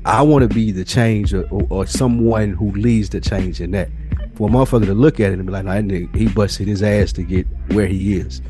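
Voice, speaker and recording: A man's voice: on the low side, steady tone, fairly quick, mellow, soft background music. An American man co-hosting a podcast, talking in an earnest, motivational way with casual, slangy phrasing. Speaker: podcast co-host.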